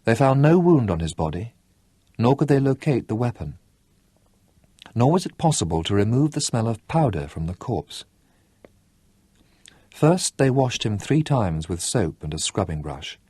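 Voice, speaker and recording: A man reading a novel aloud in an expressive audiobook style, with lively, dramatic delivery and slowed emphasis. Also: mid-range voice, slightly rough, dry recording.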